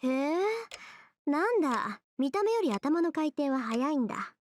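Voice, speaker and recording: A woman playing a sharp-tongued, composed teenage girl in a Japanese visual novel, brisk, scolding and haughty. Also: high-pitched, sing-song, a little hiss or hum. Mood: smug, cool. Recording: background music under game dialogue.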